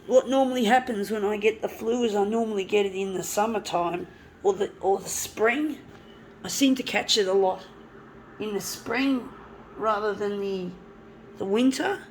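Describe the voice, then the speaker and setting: A woman, hoarse from a flu with a blocked nose, chatting and swearing freely as she works. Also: medium pitch, moderate pace, a little hiss or hum, rough recording. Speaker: artist. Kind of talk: casual talk while working.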